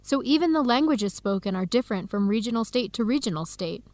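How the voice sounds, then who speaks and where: An upbeat young woman with an American accent , played by an actress in a radio drama. Her voice sits fairly high and is recorded dry and close. She chats clearly and expressively, like a researcher talking with her best friend. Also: lively intonation, brisk, a little mellow, no room sound, natural voice. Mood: earnest, explaining. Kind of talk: scripted radio drama dialogue for English learners.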